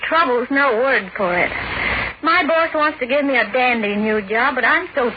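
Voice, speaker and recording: An earnest young working girl in a 1940s radio advert. Her voice is notably high, in scripted commercial style. The old recording is muffled and noisy. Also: expressive, moderate pace. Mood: troubled, questioning. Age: young adult.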